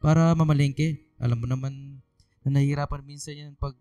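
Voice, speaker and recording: A man acting a mellow, gentle Filipino father. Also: mid-range voice, fairly even intonation, fairly quick, clear, resonant tone, no echo, soft background music, close to an everyday voice. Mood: teasing, fond. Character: mellow, ailing Filipino father, reassuring and teasing. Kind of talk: radio drama acting.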